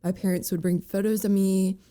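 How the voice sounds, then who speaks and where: A young woman with a raspy voice full of cracks, speaking as a guest in a candid interview, halting and full of fillers. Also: mid-range voice, little pitch movement, warm tone.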